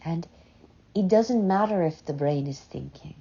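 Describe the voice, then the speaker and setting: A woman leading a guided meditation in a soft, breathy voice, gently and unhurriedly, with long pauses between short phrases. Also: on the low side, lively intonation. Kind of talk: slow, soothing guided meditation. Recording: dull, muffled lo-fi sound.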